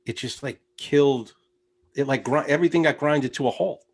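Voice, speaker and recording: A man from the United States talking casually as an interview guest, relaxed and rambling, with lots of fillers. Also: on the high side, normal speed. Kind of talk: casual conversation.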